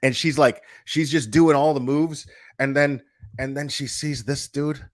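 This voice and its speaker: A man's voice: mid-range voice, steady tone, a little slow. A man ranting in a casual reaction video, blunt and profane, with lots of restarts and slangy fillers. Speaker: online commentator.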